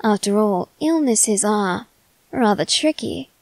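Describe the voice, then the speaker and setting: A woman's voice: medium pitch, expressive, slow pace, no echo. A woman playing a teasing, haughty young male demon servant in a theatrical, mock-polite ASMR roleplay. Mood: curious, measured. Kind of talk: ASMR character roleplay addressed to the listener.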